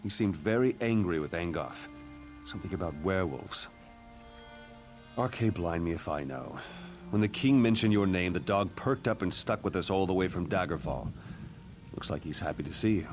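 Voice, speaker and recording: An actor playing a stern, blunt military commander in a fantasy game, speaking gravely over music. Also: male voice, deep voice, animated, moderate pace. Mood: exasperated, concerned. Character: stern male military commander fighting a necromancer. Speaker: game character. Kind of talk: scripted fantasy game dialogue.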